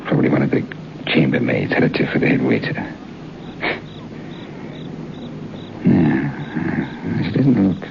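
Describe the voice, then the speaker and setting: Moody romantic writer musing to himself, old radio drama, gravelly, noisy tape. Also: male voice, a bass voice, very animated, muffled recording, heavily acted. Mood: brooding musing. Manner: musing aloud, halting, talking to himself. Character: restless English writer on holiday, romantic, brooding.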